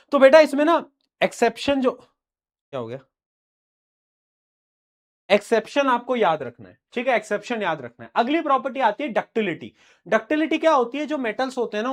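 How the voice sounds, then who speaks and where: A young man with a fairly high voice teaching a live online lesson. His throat is sore and he coughs now and then. He talks in a loose, teasing way with his students. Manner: informal, teasing, addresses students as beta.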